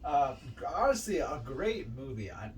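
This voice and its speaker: A man with a medium-pitched voice chats informally as a podcast co-host, in short, emphatic phrases. He is a little far from the mic, with faint noise in the recording. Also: big pitch swings, unhurried. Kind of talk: casual film ranking chat.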